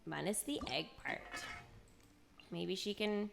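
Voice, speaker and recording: A woman's voice: medium pitch, takes it slowly, gravelly, fairly bright tone, soft background music. A woman streaming a video game, chatting casually and playfully as she reacts aloud and banters with viewers. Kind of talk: casual livestream chat over gameplay.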